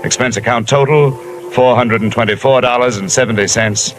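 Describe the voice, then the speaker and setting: A man's voice: medium pitch, fairly quick, lightly acted. An actor playing a hard-boiled male insurance investigator narrating a 1950s radio drama in clipped sentences. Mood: terse. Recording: old radio transcription with music.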